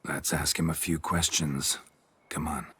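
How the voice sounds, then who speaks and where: An actor playing a gruff, laconic monster hunter in a video game, with a gravelly, husky voice and a dry, sardonic delivery. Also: male voice, very deep voice, unhurried, slight background noise, exaggerated voice. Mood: matter-of-fact. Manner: deadpan, sardonic.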